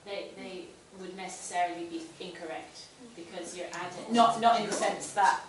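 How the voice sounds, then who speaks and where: A woman with a lowish voice works through her question aloud, pausing and restarting as she reasons. She is picked up from across a very reverberant room, with light hiss. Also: fairly even intonation. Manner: thinks aloud, halting restarts. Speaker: student. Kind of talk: asking a question in class. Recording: very echoey room, distant mic.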